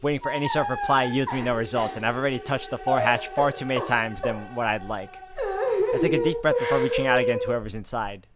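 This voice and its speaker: A man's voice: mid-range voice, huge pitch swings, quick pace. A man playing and reading a horror visual novel aloud, mixing dramatic narration with casual asides as a let's player. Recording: loud music behind the voice.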